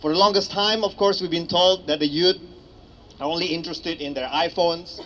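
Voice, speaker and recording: A man with an Indonesian accent addresses a gathering as an activist. His voice sits a little high, and he speaks earnestly and emphatically, repeating phrases for effect. Faint ambient noise runs behind him. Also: steady tone, moderate pace, a bit bright. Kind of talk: public political speech. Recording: clearly noisy ambient public recording.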